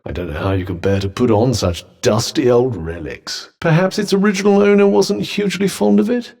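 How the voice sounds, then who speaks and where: A man's voice: a little high, darkish tone, in a large hall. An actor voicing a witty, sarcastic male talking magic cuff in a video game, teasing in a dry tone.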